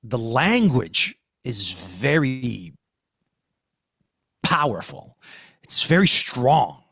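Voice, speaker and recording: A man hosting a podcast, discussing and reviewing in a conversational, emphatic way with deliberate phrasing. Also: medium pitch, sing-song, sharp tone, breathy edge, dry recording, clean studio sound. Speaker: podcast host.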